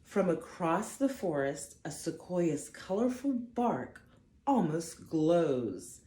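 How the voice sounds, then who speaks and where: A woman reading a picture book aloud to children, with expressive, deliberate emphasis on the words. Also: fairly low pitch, roomy sound. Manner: dramatic, deliberate emphasis for young listeners. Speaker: storybook reader for children.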